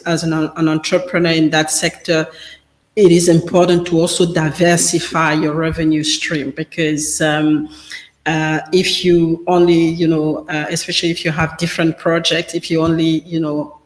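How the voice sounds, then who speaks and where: A man whose first language is French speaks in a fairly high voice that stays flat and even in pitch. He halts and restarts often, leaning on fillers as he answers interview questions. The sound rings in an echoey room. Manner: halting, full of fillers and restarts. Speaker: business guest speaker.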